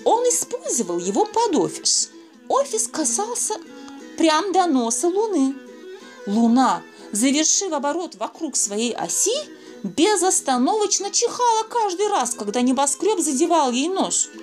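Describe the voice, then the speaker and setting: A woman reading a children's fairy tale aloud, expressive and speaking fondly to young listeners. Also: sits high, unhurried, faint music behind. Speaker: storyteller.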